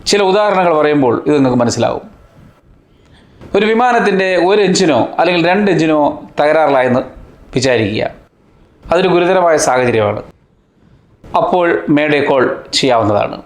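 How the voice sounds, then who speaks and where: A man narrates a scripted explainer in a fairly high voice. He delivers it in deliberate, short phrases and poses rhetorical questions as a teacher would. The sound is noticeably roomy, with a clear echo. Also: expressive. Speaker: host of a science explainer channel.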